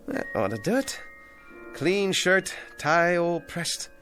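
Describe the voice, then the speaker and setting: Hapless, earnest showman, higher-pitched. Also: sing-song, slow pace, pushed and bright, faint music behind, heavily acted. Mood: earnest, hopeful. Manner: hesitant, stammering, earnest, polite. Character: hapless, eager, down-on-his-luck male ventriloquist. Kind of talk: radio drama acting.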